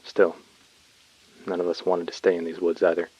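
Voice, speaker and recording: A man's voice: low voice, monotone, normal speed, a little mellow, highs cut off. A man playing a weary, uneasy colonist recording a subdued, brooding field message in a sci-fi audio drama. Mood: weary, grim.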